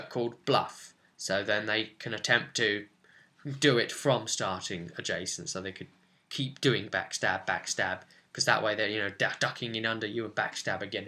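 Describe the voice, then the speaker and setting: Bright-voiced young man explaining game rules. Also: on the low side. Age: 20s. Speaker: presenter of a tabletop roleplaying tutorial. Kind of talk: informal explanatory talk to camera. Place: small furnished room at home, indoors.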